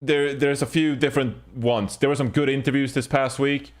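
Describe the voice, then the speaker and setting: A man's voice: medium pitch, fairly even intonation, normal speed. A man hosting a casual esports panel discussion, talking in a blunt, rambling, profane way. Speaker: podcast host.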